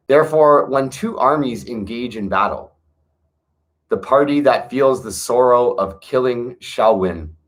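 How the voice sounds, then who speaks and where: A man reading an old wisdom text aloud in a measured, earnest way, with brief casual asides to his listeners. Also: medium pitch. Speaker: reader of a classic text.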